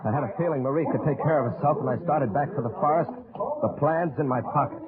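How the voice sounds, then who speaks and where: An actor playing a resolute American army captain on a secret mission, with an American accent, in old-time radio drama style. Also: male voice, on the high side, fast talker, over a noisy crowd, lightly acted. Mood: tense, urgent. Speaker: actor and first-person narrator. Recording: muffled, tinny old radio broadcast.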